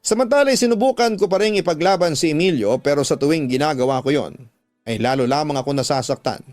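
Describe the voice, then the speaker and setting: A man's voice: on the high side, animated, quick pace, a little mellow, no room sound, studio quality. A man giving emotive, sorrowful scripted first-person narration for a radio drama. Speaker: radio drama narrator reading a letter sender's story. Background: faint background music.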